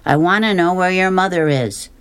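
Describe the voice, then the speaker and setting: A man voicing a bold, stubborn young girl who argues insistently and speaks in a raised, brisk voice. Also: high voice, a bit bright, clear, resonant tone, pitched above the performer's own voice. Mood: defiant.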